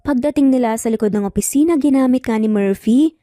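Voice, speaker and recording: A woman's voice: a little high, fairly quick, warm tone, no echo, clean studio sound, faint music behind. A woman with a Filipino accent reading scripted dramatic narration as a storyteller.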